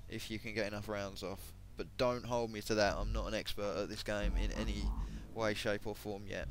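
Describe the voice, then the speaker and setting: A man talks through a game's gear, his voice a little croaky and his speech full of ums and restarts. He sits at a home computer mic, and a faint hum of room noise sits under him. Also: lowish voice, fairly bright tone. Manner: hesitant, many ums and self-corrections. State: voice going croaky. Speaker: gaming video creator. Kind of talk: casual gameplay commentary.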